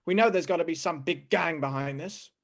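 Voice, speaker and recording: Woman acting gruff, hectoring police sergeant. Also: on the low side, fairly quick, close to an everyday voice. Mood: exasperated, hectoring. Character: exasperated, bullying police sergeant. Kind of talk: 1950s old-time radio acting.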